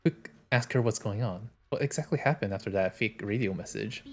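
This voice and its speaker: A man's voice: on the low side, a little fast, a little mellow. A man reading a video game's story text aloud as he streams. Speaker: game streamer.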